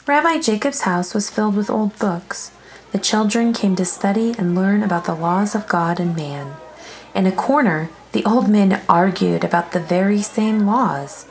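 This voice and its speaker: A woman's voice: mid-range voice, moderate pace, rough recording. A woman reading a children's story aloud as narrator, expressively, drawing out some phrases.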